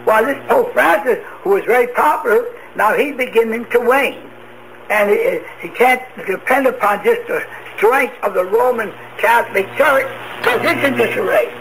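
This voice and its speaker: A man preaching an end-times radio sermon, emphatic, asking rhetorical questions and answering them himself. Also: extremely high pitch. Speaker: preacher, self-proclaimed prophet. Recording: noisy radio broadcast.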